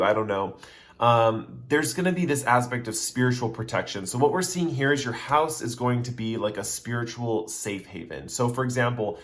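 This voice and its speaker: A man giving a casual pick-a-card tarot reading, talking straight to the viewer in a loose, rambling way that restates its points. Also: medium pitch. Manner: chatty, speaks straight to the viewer. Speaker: tarot reader.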